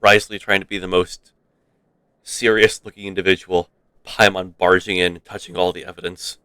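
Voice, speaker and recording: A man's voice: deep voice, little pitch movement, normal speed, bright, forceful tone, clean tone, no room sound, poor audio. A man doing casual live commentary on a game as a streamer, with wry asides. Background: game music.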